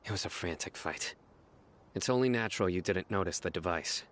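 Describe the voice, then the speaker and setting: A man's voice: low-pitched, fairly quick, noisy background, close to an everyday voice. An actor playing a stern, stoic young male desert warrior who speaks tersely and seriously in a video game.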